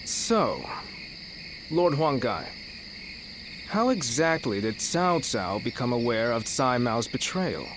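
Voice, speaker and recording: Formal, commanding military strategist. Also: male voice, mid-range voice, very animated, noisy background. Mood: stern, accusing. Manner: formal, measured, deliberate. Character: shrewd, commanding male military strategist of ancient China. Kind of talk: video game cutscene acting.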